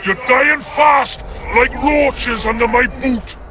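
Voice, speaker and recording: An actor playing a battle-roused soldier in a video game, yelling forcefully. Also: male voice, steady tone, slow pace. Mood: taunting. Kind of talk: dramatic video game acting. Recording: music and rumble behind distant shouts.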